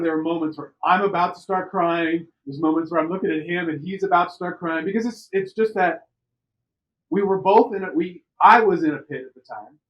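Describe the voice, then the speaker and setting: A middle-aged man from the United States telling personal stories on a podcast in an animated, conversational way, repeating words for emphasis. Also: fairly high pitch, lo-fi sound. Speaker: podcast interview participant. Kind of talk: personal storytelling.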